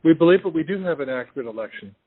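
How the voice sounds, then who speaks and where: An American man speaking as an official on a formal phone call, measured, polite and firm. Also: a little high, expressive, slightly rough. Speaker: state election official. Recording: muffled, roomy phone line recording.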